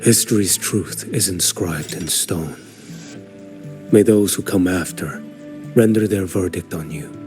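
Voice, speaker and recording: Low, formal arbiter of fate, measured delivery. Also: male voice, over music. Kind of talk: video game voice acting.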